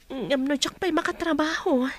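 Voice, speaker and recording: A woman's voice: high voice, moderate pace, close to an everyday voice. A woman acting a sorrowful unwed new mother with an Ilocano accent in a radio drama. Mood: worried.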